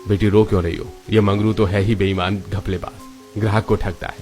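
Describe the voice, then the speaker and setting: A man's voice: fairly low pitch, little pitch movement, a little fast, a little mellow, natural voice. A man voicing a kindly, chatty older railway station master in a cartoon story, with faint music behind. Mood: consoling.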